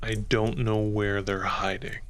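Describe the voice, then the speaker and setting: A man's voice: on the low side, little pitch movement, clean tone, natural voice. Stern accusing military commander played by a man. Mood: guarded. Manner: measured, accusing questions. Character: stern commanding military superior, a bitter centurion. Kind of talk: improvised tabletop roleplay. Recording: music behind the voice.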